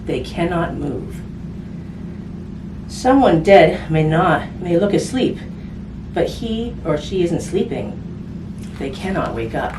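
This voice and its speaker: Lady doing kids storytime, lowish voice, chatty asides, noisy recording w/ echo. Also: sing-song, takes it slowly, long pauses. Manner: conversational, simple phrasing aimed at children, with tag questions. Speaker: hospice volunteer reading a picture book to children. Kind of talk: read-aloud storytime with casual asides. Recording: roomy, distant mic with background noise.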